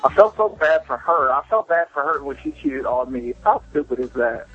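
A man's voice: on the high side, expressive, moderate pace, slightly rough. A young man leaving a voicemail for a show, telling casual personal stories in a candid, self-deprecating, profane way. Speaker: listener calling a podcast voicemail. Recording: muffled lo-fi voicemail with music.

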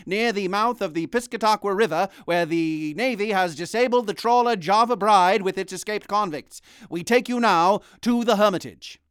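A man's voice: high voice, a bit bright, clear, resonant tone, no echo. An actor playing a dramatic, emphatic radio newsman reporting live in a period radio drama. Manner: emphatic announcing. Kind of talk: 1930s-style radio news acting. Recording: very noisy live radio broadcast.

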